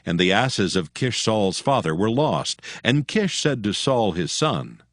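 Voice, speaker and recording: Guy narrating the bible, lowish voice, measured but lively, dry studio. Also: no room sound. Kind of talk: scripted scripture narration.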